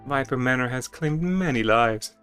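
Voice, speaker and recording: Higher-pitched man narrating theatrically over music. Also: lively intonation, moderate pace, a bit bright. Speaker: streamer. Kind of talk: dramatic reading of game text with casual asides.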